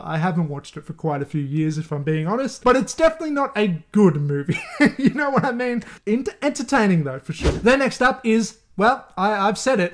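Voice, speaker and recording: High-voiced man, casual and laughing. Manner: candid, swears freely, laughs often. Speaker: channel host. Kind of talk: casual movie recommendations.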